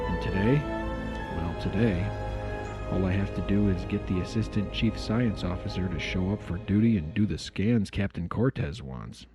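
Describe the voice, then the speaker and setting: A low-pitched, blunt first officer of a starship played by a man, exasperated and rebellious with a sarcastic edge. It is science fiction audio drama, with music clearly playing behind him. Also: natural voice. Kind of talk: fan audio drama acting.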